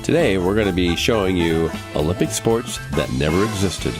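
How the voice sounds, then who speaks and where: A man's voice: low-pitched, animated, moderate pace. A man hosting a comedy radio show in a scripted, chatty style. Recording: clear music bed behind.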